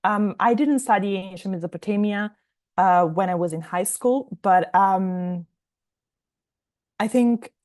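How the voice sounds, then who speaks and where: A woman's voice: medium pitch, unhurried, a little mellow. A young woman from Italy, a native Italian speaker, answering interview questions as a novelist in a reflective, explanatory way.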